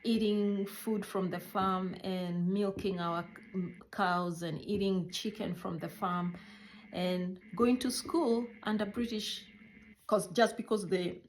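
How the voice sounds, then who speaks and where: A middle-aged woman with a Kenyan accent telling personal stories in a halting, reflective way, searching for words; English is not her first language. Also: mid-range voice, steady tone, a little hiss or hum, poor audio. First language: a Kenyan mother tongue. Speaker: healthcare worker recounting her life. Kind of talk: personal storytelling.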